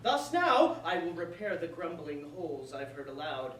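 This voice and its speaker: An oily, taunting military lord acted by a woman speaks theatrical verse with a very animated, swooping delivery. The recording is distant and echoey, in a roomy space. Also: sits low, moderate pace. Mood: commanding. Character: menacing male soldier lord, mocking, oily and courtly. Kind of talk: staged reading of verse comedy. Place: roomy indoor space, far from the mic. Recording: distant echoey room with faint noise.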